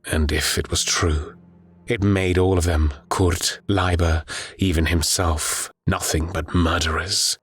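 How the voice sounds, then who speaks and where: A man narrating a dark war story in a grave, deliberate, dramatic audiobook style. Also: low-pitched, steady tone, breathy edge, no echo.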